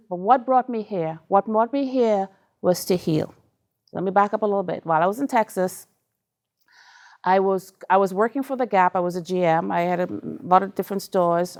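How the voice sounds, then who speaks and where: A woman's voice: mid-range voice, darkish tone. Middle-aged woman, Trinidadian accent, speaker at a live event; open, talkative delivery. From Trinidad and Tobago. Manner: chatty, candid, laughs along with the audience. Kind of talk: personal story talk to a live audience.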